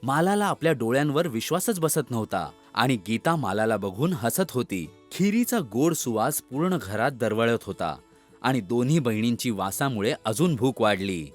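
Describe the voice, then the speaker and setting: Lively male children's storyteller. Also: medium pitch, normal speed, faint music behind. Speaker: storyteller narrator. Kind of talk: scripted children's story narration.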